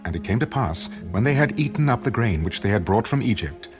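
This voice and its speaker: A man narrating a dramatized audio Bible in a solemn, measured storytelling style. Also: fairly low pitch, expressive, soft background music.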